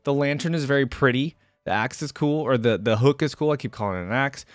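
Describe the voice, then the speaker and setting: A man's voice: mid-range voice, fast talker, no echo, studio quality. A man giving casual, informal reaction commentary on game character skins, thinking aloud as he goes. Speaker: reaction video host.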